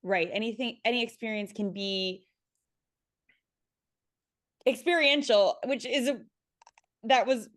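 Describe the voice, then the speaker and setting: A woman's voice: on the high side, slow, pauses often, a bit bright. A woman speaking conversationally as a panelist on a video call, often correcting herself and checking in with "right?".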